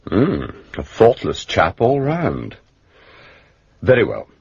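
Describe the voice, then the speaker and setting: Wry English gentleman sleuth, very husky, animated low voice, dry muffled radio drama. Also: slow, dry recording, full character voice. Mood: polite, measured. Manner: understated, politely hesitant, dryly humorous. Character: courteous, wry gentleman detective, mild and unflappable. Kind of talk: British radio drama acting.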